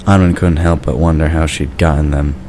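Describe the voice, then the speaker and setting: A male narrator reads a scripted story. His voice is exceptionally deep and low. A faint layer of background noise runs underneath. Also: fairly even intonation, fairly quick, darkish tone.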